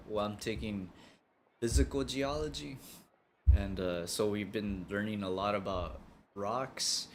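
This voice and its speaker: A young man streams a game and chats with his audience. His mid-range voice is casual and halting, wandering as he thinks, with soft music underneath. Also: medium pitch. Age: young adult. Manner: hesitant, halting, rambling. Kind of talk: casual live-stream chat over a game. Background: game music behind the voice.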